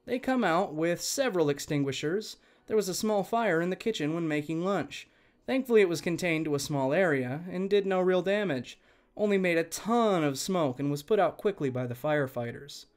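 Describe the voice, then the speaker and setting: High-voiced man narrating stories. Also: moderate pace. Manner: conversational, expressive, addresses listeners directly. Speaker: channel host and narrator. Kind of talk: reading online stories aloud, scripted narration with chatty asides.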